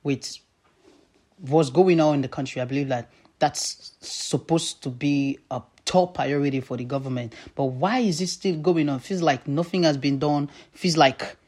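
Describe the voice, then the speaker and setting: A man's voice: a little high, normal speed, a little mellow. A man from Africa, interviewing a guest with long, probing questions. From West Africa.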